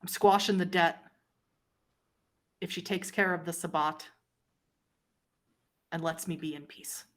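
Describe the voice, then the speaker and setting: A woman plays an old female vampire informant in a tabletop roleplay. Her voice sits a little low and sounds weary and guarded. She speaks in short, measured statements with dramatic pauses. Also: fairly even intonation, close to an everyday voice. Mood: weary, defensive. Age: older.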